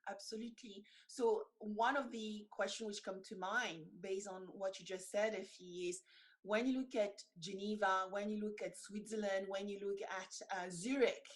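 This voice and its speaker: A woman's voice: mid-range voice, fairly even intonation, normal speed, poor audio. A woman hosting a webinar interview, asking questions in a welcoming, encouraging way. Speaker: webinar host.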